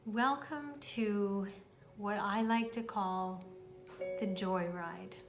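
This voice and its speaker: A woman leading a guided meditation, speaking soothingly and deliberately, with long pauses as she addresses the listener directly. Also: medium pitch. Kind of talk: guided meditation, spoken instruction. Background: meditation music.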